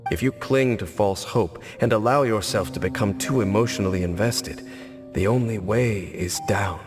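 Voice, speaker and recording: An actor playing a solemn, cynical, centuries-old cursed knight in a video game, measured and formal in manner. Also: male voice, on the low side, natural voice. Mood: stern, bleak. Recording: long reverb, music underneath.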